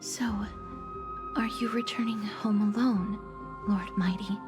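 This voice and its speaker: A woman voices a gentle, somber young maiden from a fantasy game. Her delivery is slow, hushed and breathy, formal in tone, with music behind it. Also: medium pitch, steady tone, natural voice. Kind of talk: scripted fantasy game voice acting.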